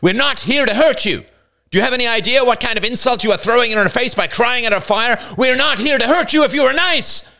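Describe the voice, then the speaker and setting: A man voicing a hot-tempered younger boy who yells threats in a harsh, aggressive way. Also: extremely high pitch, a little fast, gravelly, pitched above the performer's own voice, full character voice. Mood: yelling.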